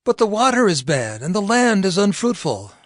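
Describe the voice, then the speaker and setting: Casting: male actor as respectful, anxious townsman, high animated male voice, deferential, scripture drama. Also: moderate pace, no room sound, clean studio sound. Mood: respectful concern. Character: respectful, worried townsman petitioning a prophet.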